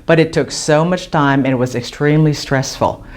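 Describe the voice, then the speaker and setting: A woman, a teacher, reflecting on her own language learning in a measured way. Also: low-pitched, darkish tone. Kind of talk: reflective personal testimony.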